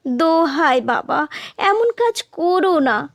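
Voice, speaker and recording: Woman voicing a high-pitched miserly old widowed aunt. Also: sing-song, slow, no echo, clean studio sound, full character voice. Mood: pleading.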